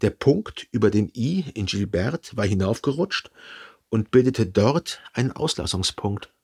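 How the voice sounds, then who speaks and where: A man playing a reflective son who narrates his memories in a radio drama, with a husky voice and measured, contemplative delivery. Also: lowish voice, lively intonation, darkish tone, exaggerated voice. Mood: pondering. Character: reflective literary narrator, a grown son remembering.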